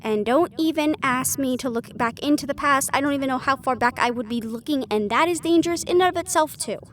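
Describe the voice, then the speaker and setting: A woman playing a brisk, caring and exasperated magical ally, candid and expressive, in a fantasy audio roleplay. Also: high-pitched, close to an everyday voice. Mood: firm, cautioning.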